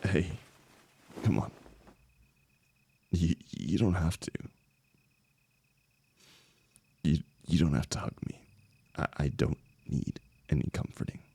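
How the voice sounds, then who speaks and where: A man acting a lovelorn young heartbreaker in an intimate, emotional audio roleplay, speaking haltingly and rawly to a longtime friend. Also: extremely low pitch, animated, creaky, warm tone, slightly rough, no echo, exaggerated voice. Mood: tender, vulnerable. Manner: halting, stammering, swearing, emotionally raw.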